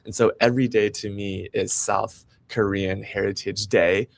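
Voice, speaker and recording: A man's voice: fairly low pitch, fairly even intonation, normal speed, vocal fry. A man hosting a live-streamed interview show, talking in an upbeat, eager and promotional way. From United States. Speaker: podcast host.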